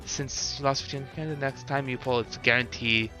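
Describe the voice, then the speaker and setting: A man's voice: mid-range voice, steady tone, moderate pace, sharp tone, soft background music. A man in casual live stream chat, thinking aloud and talking with his viewers as he reacts.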